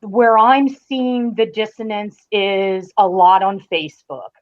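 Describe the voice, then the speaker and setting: A woman guest on a podcast, giving casual fan commentary in an emphatic, deliberate way. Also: medium pitch, steady tone, dull audio.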